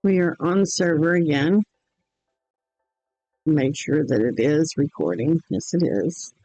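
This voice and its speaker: A man with a slightly high voice talks unhurriedly while he plays, his hoarse voice cutting in and out. He rambles with many pauses and ums. Faint music plays behind him. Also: expressive, dark tone, no room sound, poor audio. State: hoarse, voice going in and out. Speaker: streamer. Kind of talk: casual gaming stream chat.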